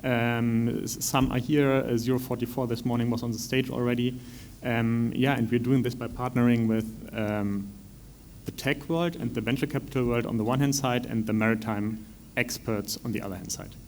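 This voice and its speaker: A man explains his company on a conference panel at a measured pace, pausing on ums. His voice is mid-pitched over a faint background noise. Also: steady tone, a little mellow. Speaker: venture studio co-founder, panelist.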